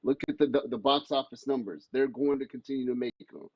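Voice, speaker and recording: A man with a mid-pitched voice on a dry, slightly dull recording. He talks casually as a podcast panelist, blunt and salty in his phrasing. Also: fairly even intonation, fast talker, breathy edge, no room sound. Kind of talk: casual chat. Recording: slightly dull, muffled mic sound.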